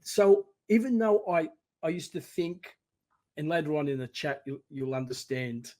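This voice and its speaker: A man in his sixties with an Australian accent, a podcast guest talking with animated energy and acting out encouraging voices. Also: fairly high pitch, normal speed. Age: 60s. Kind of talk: casual interview.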